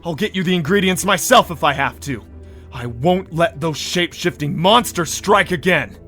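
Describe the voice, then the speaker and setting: A man's voice: on the high side, moderate pace, sharp tone, faint music behind. A man playing a frantic, hot-headed pony prince in a cartoon audio drama, agitated, defensive and insistent. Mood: furious, paranoid.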